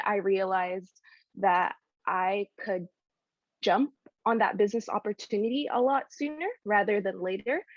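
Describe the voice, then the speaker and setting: A young woman from the Philippines talks through her story in a casual interview, friendly and quick to laugh, with many fillers. Her voice comes over a video call and sounds dry and a little dull, with no room around it. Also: medium pitch, no echo. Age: 20s. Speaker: guest.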